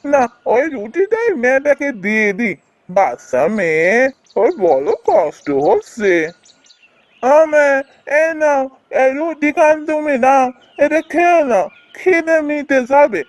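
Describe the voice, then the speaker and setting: A woman's voice: sits high, big pitch swings, measured pace, no echo. A woman playing a kind, earnest, hard-working village husband in a fairy-tale cartoon. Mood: concerned, kindly. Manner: earnest, caring. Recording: music behind the voice.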